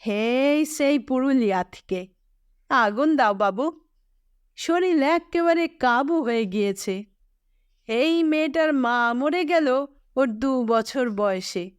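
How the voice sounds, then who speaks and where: A woman's voice: high voice, animated, slow pace, long pauses, clean tone, no room sound, studio quality. A woman voicing a poor, weary middle-aged woodcutter in a storybook reading, with humble, rustic Manbhum Bengali speech. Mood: weary, plain. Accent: rural Manbhum Bengali.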